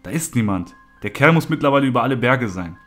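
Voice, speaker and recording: A man's voice: mid-range voice, animated, fast, a bit bright, soft background music, natural voice. Man as a matter-of-fact police officer.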